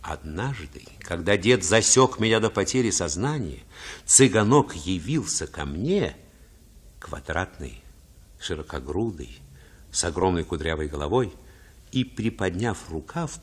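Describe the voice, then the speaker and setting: An actor narrating boyhood memories in a radio drama as a reflective man, husky and expressive, with literary phrasing. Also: low voice, measured pace, heavily acted. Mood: reminiscent.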